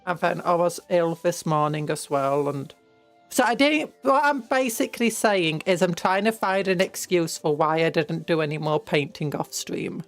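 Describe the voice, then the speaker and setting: Croaky-voiced young British woman streamer. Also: fairly low pitch, moderate pace, soft background music. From Britain. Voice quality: croaky from hay fever. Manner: rambling and playful, with restarts and sudden squeals. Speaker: streamer painting miniatures. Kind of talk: casual livestream chat.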